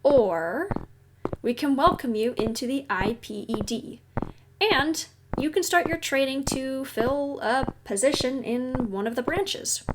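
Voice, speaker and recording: A woman playing a kindly, professional agent in an audio roleplay, gently explaining things and reassuring the listener in a conversational way. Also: high-pitched, noisy background. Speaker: agency guide briefing a newcomer.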